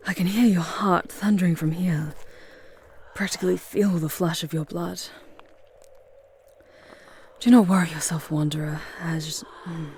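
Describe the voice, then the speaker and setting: A woman's voice: fairly low pitch, steady tone, unhurried, pauses often, darkish tone, slight background noise. A woman playing a charming, sardonic demon guide in an ASMR audio roleplay, murmuring breathily with wry, formal phrasing. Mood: intimate, teasing.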